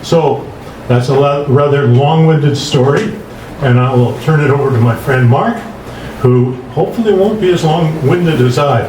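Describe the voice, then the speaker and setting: A man's voice: a little high, moderate pace, noisy background. An older man from British Columbia giving a rambling, earnest speech with many fillers at a community event, holding a microphone. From British Columbia, Canada. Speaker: guest speaker. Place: dim event hall, indoors. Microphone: handheld mic, filmed from across the room. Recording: roomy hall, distant mic.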